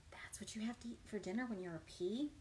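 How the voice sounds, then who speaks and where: A woman children's librarian reading a picture book aloud in an exaggerated, animated way and talking directly to young listeners. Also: on the high side, normal speed. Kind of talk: children's read-aloud storytime. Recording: noisy livestream recording.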